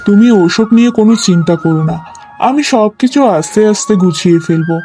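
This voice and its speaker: Caring young village husband for animation, played by a man; relaxed tone, reassuring and plain-spoken. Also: high-pitched, a little fast, faint music behind. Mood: contented, reassuring. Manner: reassuring, homely. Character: caring, contented young husband in humble home. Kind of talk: cartoon voice acting.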